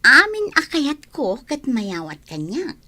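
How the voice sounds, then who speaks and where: An actress playing a vain, sharp-tongued young village woman in a comedy radio play. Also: sits high, huge pitch swings, slow, full character voice. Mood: dreamy.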